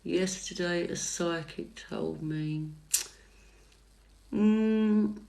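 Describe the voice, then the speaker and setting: Slow, gravelly-voiced woman reader. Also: lowish voice. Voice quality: soft calming voice. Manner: hesitant, many fillers and restarts. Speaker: psychic tarot reader. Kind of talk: casual livestream chat answering viewer comments. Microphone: phone livestream mic.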